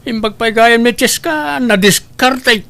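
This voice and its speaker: A man's voice: extremely high pitch, a little slow, vocal fry, pushed and bright, exaggerated voice. A man with an Ilocano accent playing a nagging, grumbling older father in a comedy drama. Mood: scolding. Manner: complaining, scolding. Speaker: drama actor.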